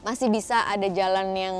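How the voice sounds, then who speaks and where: Woman hosting casual advice talk. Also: medium pitch. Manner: informal, mixes English words into speech. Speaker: host reading audience questions.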